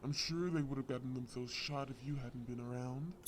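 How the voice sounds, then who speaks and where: A man's voice: medium pitch, fairly even intonation, a little fast, a little hiss or hum, close to an everyday voice. A man playing a possessive, mock-sweet crime villain in an audio roleplay, taunting with theatrical politeness. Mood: triumphant. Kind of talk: scripted audio roleplay acting.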